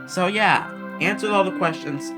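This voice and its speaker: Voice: mid-range voice, normal speed. Casual commentator with music behind. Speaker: home video creator playing along with a children's read-along CD.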